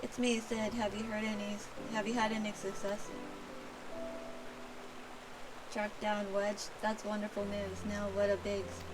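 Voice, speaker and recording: A woman voicing a level-headed, caring engineer chief from a fantasy video game in a measured, matter-of-fact way. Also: medium pitch, little pitch movement, noisy background, lightly acted. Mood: hopeful.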